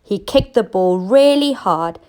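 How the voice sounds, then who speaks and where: A woman teacher narrating a scripted children's story, expressive and clearly enunciated for young listeners. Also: mid-range voice, a little slow. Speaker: teacher reading stories to children.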